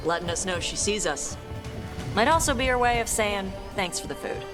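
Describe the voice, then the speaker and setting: A woman's voice: medium pitch, lively intonation, moderate pace, lightly acted. A woman acting a brash, proud dragon hunter in a video game, blunt and casual in her phrasing. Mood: matter-of-fact. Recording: loud game music behind.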